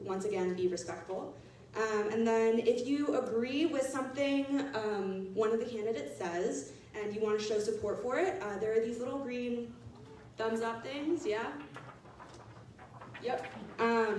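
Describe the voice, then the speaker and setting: A woman's voice: medium pitch, darkish tone, slight background noise. Woman, casual with fillers, far off, heavy room echo. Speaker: advocacy organization director. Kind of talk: laying out community agreements. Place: large echoey hall. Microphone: distant room mic. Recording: echoey hall, voice far off.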